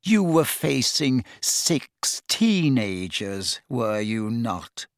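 Man playing Snape, smooth, disdainful double agent. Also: medium pitch, big pitch swings, no echo, clean studio sound, heavily acted. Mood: smooth, cutting. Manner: deliberate, smooth, impatient, sarcastic. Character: cold, smooth, condescending male double-agent wizard.

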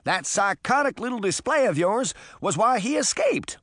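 Man voicing a high-pitched, forceful portly ex-cop. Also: big pitch swings, measured pace, no echo, studio quality, full character voice. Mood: angry. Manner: forceful, scolding, commanding. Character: portly male ex-policeman, firm, indignant leader.

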